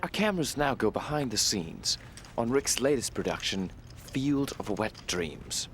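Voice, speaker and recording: A man reading scripted mock-documentary narration in a deliberate, mock-serious manner. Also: mid-range voice, big pitch swings, fairly bright tone, slight background noise. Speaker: documentary narrator, voice-over artist.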